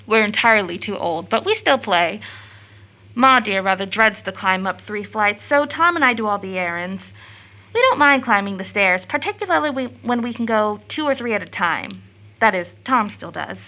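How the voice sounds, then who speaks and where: A woman's voice: mid-range voice, expressive, normal speed, pushed and bright, poor audio. An actress playing an eager, tender-hearted teenage schoolgirl in an audio drama, chatty, girlish and earnest. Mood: bubbly, chatty. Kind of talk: stage-play audio drama acting.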